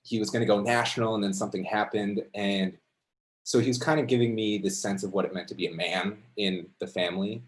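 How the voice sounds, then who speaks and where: Low-voiced man telling a personal story. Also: fairly even intonation, a little fast, poor audio. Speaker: amateur storyteller at a story slam. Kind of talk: unscripted personal storytelling to an audience.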